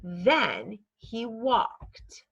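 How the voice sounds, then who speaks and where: A woman reading a children's story aloud in a measured, scripted narrating style. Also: fairly high pitch, very animated, creaky, fairly bright tone, slightly rough, highs cut off. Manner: measured, acts out dialogue. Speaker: storybook reader.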